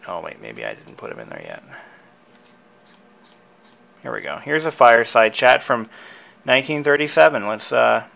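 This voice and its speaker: A man's voice: mid-range voice, sing-song, normal speed, gravelly, pauses often, a bit bright. A man presenting a casual hobby tutorial in a conversational, enthusiastic way.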